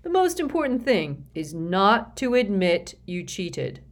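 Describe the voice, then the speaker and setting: A woman's voice: medium pitch, sing-song, a little slow, pitched above the performer's own voice. Woman voicing a friendly, dim male executive. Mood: confiding.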